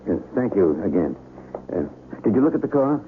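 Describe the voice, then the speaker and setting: An actor playing a rattled, haunted traveler in a 1970s radio drama, talkative and earnest, with a hesitant stammer. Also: male voice, low voice, very animated, fairly quick. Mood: courteous. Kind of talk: 1970s radio drama acting with first-person narration. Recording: tinny, hissy 1970s radio broadcast.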